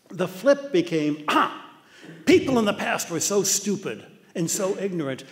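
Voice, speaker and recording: Older American man lecturing in a reverberant hall. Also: on the high side. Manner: animated storytelling with mock voices. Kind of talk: illustrated talk. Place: dark lecture hall with projector screen.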